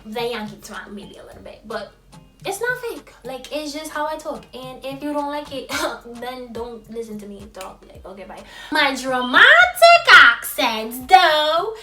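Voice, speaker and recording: High bright-voiced Trinidadian woman. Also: roomy sound, distant mic. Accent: Trinidadian with American influence. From Trinidad and Tobago. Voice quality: high-pitched youthful voice. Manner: expressive, lively, quick when excited, laughs often. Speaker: vlogger. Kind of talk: casual talk to camera.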